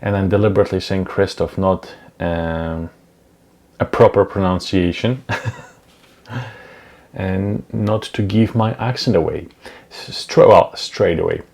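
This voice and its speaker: A man in his thirties who speaks English as a second language, talking casually to camera in a home studio on a lapel mic, unhurried and with many ums and uhs. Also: low-pitched, gravelly, a little mellow. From Europe. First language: not English. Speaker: vlogger. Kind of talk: casual talking-head vlog. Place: small dim home studio, indoors. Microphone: clip-on lapel mic.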